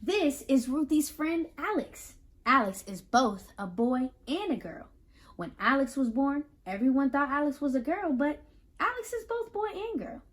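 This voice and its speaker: A woman reading a picture book aloud to children, pausing to explain and put questions to the listeners in an instructive, animated way. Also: high-pitched, slight background noise. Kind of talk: children's read-aloud with teaching asides.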